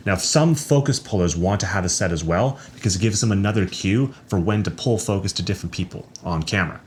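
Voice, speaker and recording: A man's voice: deep voice, expressive, fast, slight background noise. A man who works as a sound recordist, narrating a scripted product review.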